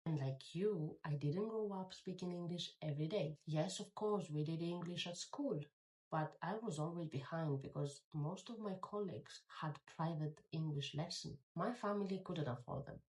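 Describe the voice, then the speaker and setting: Woman, thirties, lowish voice, encouraging, direct to camera. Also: moderate pace, a little mellow, poor audio. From Greece. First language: Greek. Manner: encouraging, direct, speaks to the viewer. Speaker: YouTuber. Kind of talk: motivational talk to camera.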